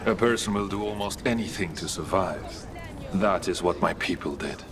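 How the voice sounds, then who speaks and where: Man playing a low, solemn dwarf guide. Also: close to an everyday voice. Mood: solemn, grave. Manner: formal, measured, cryptic phrasing. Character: solemn, guarded male dwarf guide of an underground city. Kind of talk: video game voice acting. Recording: music behind the voice. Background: game soundtrack music.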